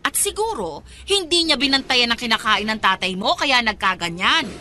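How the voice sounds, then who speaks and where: A woman playing an indignant, jealous, sharp-tongued partner in a Filipino radio drama. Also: fairly high pitch, expressive, normal speed, a little hiss or hum.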